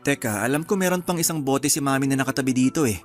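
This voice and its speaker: A man playing a gentle, apologetic young husband in a Filipino radio drama, hesitant and placating, with a Filipino accent. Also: mid-range voice, fast talker, soft background music, natural voice. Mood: helpful. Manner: hesitant, placating, soft-hearted.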